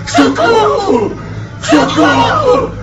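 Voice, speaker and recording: A tormented Brazilian spirit of a man who took his life, in a radio drama. The delivery is slow and drawn out, swinging wildly between pleas and wails of despair. Loud music sits behind the dull, lo-fi recording. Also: very high voice, wildly swooping pitch, crowd noise, heavily acted. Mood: frantic despair. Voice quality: wailing, breaking into shouts and sobs. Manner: melodramatic, drawn-out pleading. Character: anguished male suicide spirit, mangled, desperate, pleading.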